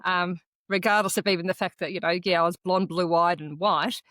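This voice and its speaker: A woman, raised speaking Croatian first, talks through her experiences on a podcast in a reflective, earnest tone. Her voice sits a bit low, and she often stops and restarts her sentences. The recording is dry and close, with no room sound. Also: fairly even intonation, a bit bright, no echo. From Australia. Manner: earnest, reflective personal storytelling with halting restarts. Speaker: podcast co-host. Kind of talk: casual conversational podcast chat.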